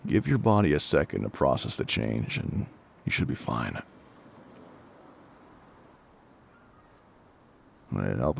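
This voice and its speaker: A male actor plays a kindly, gruff fire captain in middle age, speaking close and reassuringly in an audio roleplay. The voice is husky and heavily gravelled, with lively rises and falls. He can turn a little awkward and hesitant, yet stays protective. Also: a bass voice, heavily acted. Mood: calm, reassuring. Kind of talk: intimate audio roleplay acting.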